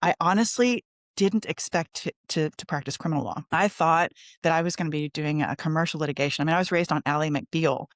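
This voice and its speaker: A woman's voice: sits low, fairly quick, no room sound. Podcast guest: American woman, middle-aged, conversational storyteller, candid and informal, dry close recording. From Texas, United States. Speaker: podcast guest, lawyer and coach.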